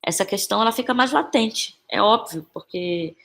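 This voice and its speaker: A Brazilian woman talks as a guest in a podcast conversation, her voice at an average pitch. She is reflective and halting, often circling back over a phrase as she thinks it through. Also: expressive, gravelly, a bit bright. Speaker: interview guest, playwright and actress.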